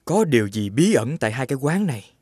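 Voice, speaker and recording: Haughty young prince, very animated. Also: male voice, medium pitch, quick pace, husky, no room sound, studio quality. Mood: suspicious, resolute. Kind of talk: animated fairy-tale dubbing.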